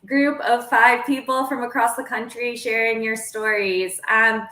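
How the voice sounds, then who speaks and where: Woman moderator, bright voice, fairly high, many fillers, echoey room. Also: fairly even intonation, measured pace. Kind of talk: hosting a virtual panel.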